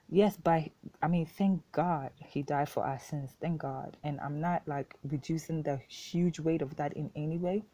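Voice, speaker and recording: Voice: mid-range voice, mellow, dry recording, rough recording. A podcast host from Ghana, a native Twi speaker, explains faith informally and repeats key points for emphasis. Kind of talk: casual faith talk, unscripted.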